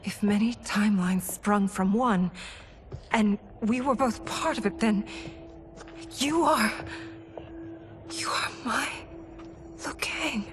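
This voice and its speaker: An actress playing a bewildered, vulnerable warrior queen in a video game, halting, breathy and disoriented. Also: medium pitch, background noise, lightly acted. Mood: moved. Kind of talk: dramatic video game cutscene acting.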